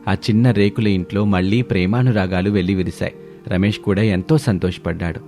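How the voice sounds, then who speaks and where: A man's voice: lowish voice, mellow. A man reading a scripted family story as a dramatic narrator.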